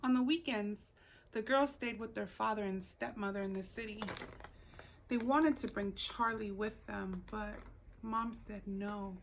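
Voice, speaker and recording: A woman teacher reading a picture book aloud to young children, expressively, pausing to put questions to the listeners. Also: medium pitch, a little hiss or hum. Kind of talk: read-aloud story time for young children.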